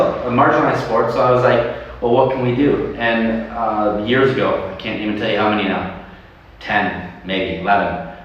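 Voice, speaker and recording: A man talking in an animated way as a guest in a livestream interview, laughing often and restarting his sentences. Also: lowish voice, distant mic, highs cut off. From United States. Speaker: sports club leader and guest. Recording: echoey room from afar, faint noise.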